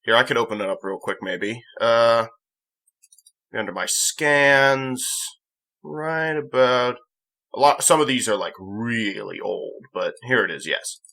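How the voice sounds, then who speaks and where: A young man hosting a gaming channel, talking off the cuff in a rambling, halting way, often correcting himself. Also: medium pitch, gravelly, sharp tone. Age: young adult. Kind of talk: casual unscripted vlog commentary.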